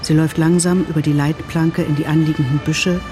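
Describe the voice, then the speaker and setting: An actress playing a detached, matter-of-fact narrator who reads screenplay-like directions in short phrases. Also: female voice, deep voice, little pitch movement, brisk, over music, natural voice.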